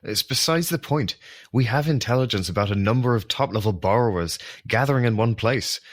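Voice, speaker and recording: A man's voice: mid-range voice, brisk, lightly acted. A man playing a blunt, militant member of a secret society who wants to fight, in an audio drama. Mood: hardline. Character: male hardline society fighter, aggressive and blunt.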